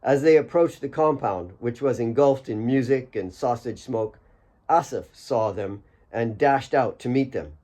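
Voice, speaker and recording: A man's voice: mid-range voice, a little slow, mellow. A man reading his own fiction as a scripted audiobook narration.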